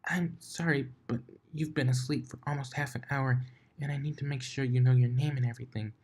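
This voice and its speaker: A man voicing a shy, gentle young mare who speaks softly and hesitantly, with fretful apologies. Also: mid-range voice, animated, normal speed, husky, pitched above the performer's own voice. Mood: timid.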